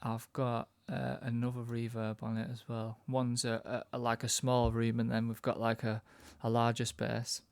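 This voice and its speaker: A man with a medium-pitched, noticeably creaky voice talks through a production tutorial in an informal way. He thinks aloud as he works, and the sound is dry and close, with little room. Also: monotone, moderate pace, hardly any pauses, darkish tone, no room sound. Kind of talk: casual software tutorial narration.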